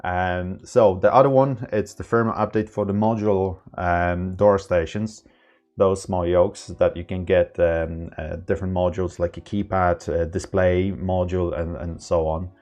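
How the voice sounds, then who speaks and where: A man presenting an informal, unscripted tech tutorial, explaining in a halting way with non-native phrasing. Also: low-pitched, steady tone, darkish tone.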